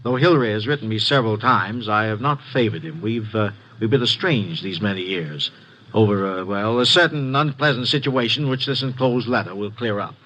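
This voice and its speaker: An actor playing a wealthy, secretive loan company president who speaks hesitantly, with many pauses, in a 1950s radio drama. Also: male voice, on the low side, fairly bright tone. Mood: guarded. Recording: dull lo-fi old radio recording.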